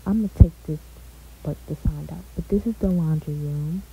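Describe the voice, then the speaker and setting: A woman's voice: low voice, moderate pace, creaky, mellow, rough recording. A young woman gives a laid-back, chatty tour of her house in a game, often trailing off with "so yeah.". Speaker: gaming vlogger. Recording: faint hiss.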